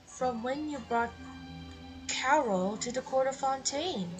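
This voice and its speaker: An actress playing a gentle young traveler in a video game, hesitant and soft-spoken. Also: female voice, high-pitched, slow pace. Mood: puzzled, uneasy. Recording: loud game music under the voice.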